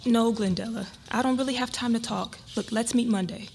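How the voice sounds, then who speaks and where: A woman playing a shocked, hurt wife in a live dramatic reading, with a husky voice and halting delivery. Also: on the high side, steady tone, darkish tone. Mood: brisk, distracted. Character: shocked, hurt wife of a husband revealing an affair. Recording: live reading with room noise.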